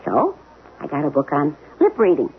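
A woman's voice: low voice, huge pitch swings, moderate pace, slight background noise. An actress playing a wry, plain-spoken middle-aged wife and former showgirl in a radio drama. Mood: wry, confiding. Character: frank, wounded middle-aged wife, former chorus girl. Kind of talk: 1970s radio drama acting. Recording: lo-fi phone-like old radio broadcast, music behind.

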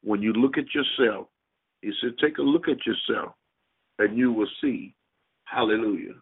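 A man preaching a sermon over a conference call, speaking emphatically and deliberately in short, halting phrases. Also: medium pitch, breathy edge. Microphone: telephone. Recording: tinny narrowband phone line.

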